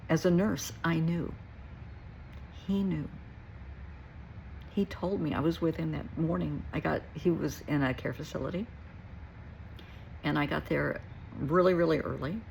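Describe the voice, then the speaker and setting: A woman's voice: sits low, steady tone, a little slow, creaky, pauses often, a little hiss or hum, poor audio. A middle-aged woman giving a reflective personal talk to listeners, earnest and emphatic, repeating short phrases for weight. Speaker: therapist and nurse.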